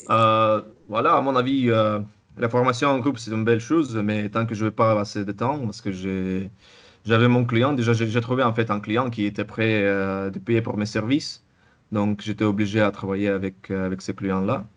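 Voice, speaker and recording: A young man with a Russian accent, speaking French as a second language. His low voice moves in halting bursts, full of restarts and repeated words, as he answers in a relaxed interview. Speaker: interviewee, former student. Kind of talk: casual testimonial interview.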